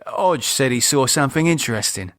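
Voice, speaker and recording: A man voicing a gruff, laconic working-class British pub owner with slangy talk in an audiobook. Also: medium pitch, expressive, fairly quick, a bit bright, dry recording, studio quality, lightly acted. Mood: matter-of-fact. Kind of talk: audiobook character acting.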